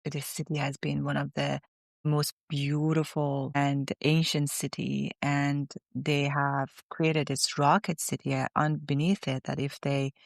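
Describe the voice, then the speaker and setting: A woman, speaking as an interview guest in a reflective, halting way. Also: sits low, level tone, creaky, no room sound, clean studio sound. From Iran. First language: Farsi. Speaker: guest psychotherapist. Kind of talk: conversational interview.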